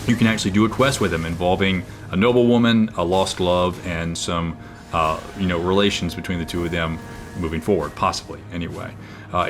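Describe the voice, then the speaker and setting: A man with a naturally booming, projecting voice, giving casual gameplay commentary with lots of fillers and restarts. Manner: rambling, with frequent fillers and restarts. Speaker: gaming video creator. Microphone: own microphone, level turned down.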